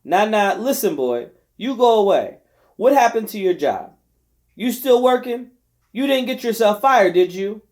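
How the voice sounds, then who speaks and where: A man's voice: high voice, animated, measured pace, darkish tone, voice pushed up. A man reading an audiobook, voicing a bossy, impatient housewife who scolds and hollers. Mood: impatient, scolding. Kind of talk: audiobook character voice.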